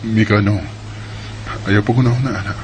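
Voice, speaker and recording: A man's voice: fairly low pitch, fairly even intonation, moderate pace, very noisy, lightly acted. A man acting an earnest young suitor and friend in a radio drama, with a Filipino Bisaya accent. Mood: apologetic, earnest. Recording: radio drama with prominent music bed.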